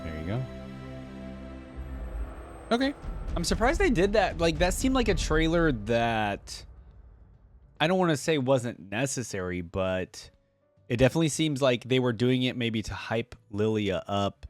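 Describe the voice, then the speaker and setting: A man's voice: mid-range voice, very animated, unhurried, pauses often. A man giving casual reaction commentary as a video host, informal and musing as he thinks aloud. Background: music from the videos being played.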